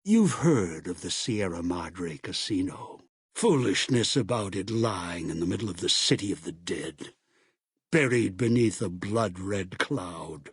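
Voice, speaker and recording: An actor voicing a bitter, obsessive old schemer in a video game, with a gravelly voice and an ominous, deliberate delivery. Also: male voice, low-pitched, expressive, no room sound, clean studio sound, full character voice. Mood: ominous, brooding. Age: older. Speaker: video game villain and narrator. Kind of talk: dramatic video game voice acting.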